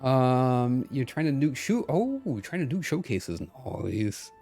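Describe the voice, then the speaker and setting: A man's voice: medium pitch, sing-song, takes it slowly, warm tone, soft background music. A man chatting casually as a game streamer, talking to himself and to his viewers.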